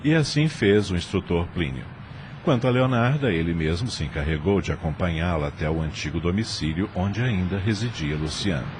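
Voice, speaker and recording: A man narrating an audio drama from a script in an expressive, literary storytelling manner. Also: deep voice, brisk, very noisy.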